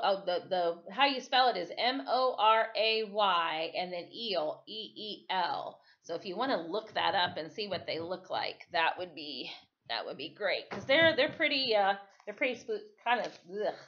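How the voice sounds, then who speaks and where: A woman reading a story aloud in an expressive, dramatic storytelling way, like a teacher. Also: medium pitch, moderate pace. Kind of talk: read-aloud storytelling with casual asides. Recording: dull, lo-fi sound.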